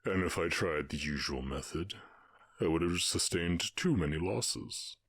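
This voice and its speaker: Man playing a very deep, husky orc warchief. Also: lively intonation, fairly bright tone, no room sound, clean studio sound, full character voice. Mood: teasing, condescending. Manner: slow, measured, condescending. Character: commanding orc warchief, honorable, calm, teasing, menacing. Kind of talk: ASMR roleplay acting.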